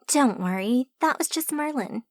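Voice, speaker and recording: A woman voicing a gracious woman of the house with a warm, hospitable manner. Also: high-pitched, lively intonation, moderate pace, dry recording, studio quality. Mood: welcoming.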